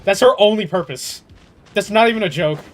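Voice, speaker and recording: A young man chatting casually on a game livestream in an animated, slangy way, swearing freely. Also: high-pitched, brisk, soft background music. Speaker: game streamer.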